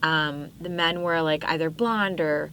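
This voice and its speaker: A young woman with a slightly low voice tells stories from her youth in a loose, chatty way, with lots of "like" and "um". She sounds animated when she gets going. A faint hiss of noise sits under the recording. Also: a little slow. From Pakistan. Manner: chatty, animated storytelling with frequent fillers. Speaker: podcast guest. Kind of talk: casual interview chat.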